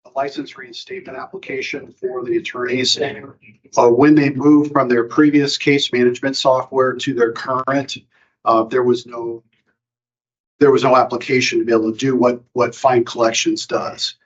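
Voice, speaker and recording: A man from county staff presents at an informal board meeting, stopping and restarting his sentences and leaning on fillers. His voice sits at a middle pitch. The recording of the meeting room sounds dull and lo-fi. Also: level tone. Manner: halting, many fillers and restarts.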